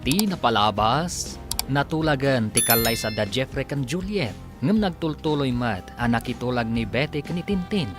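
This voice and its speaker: A man's voice: mid-range voice, animated, normal speed. A man announcing and narrating a scripted radio serial in a formal, dramatic manner. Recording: music bed under announcement.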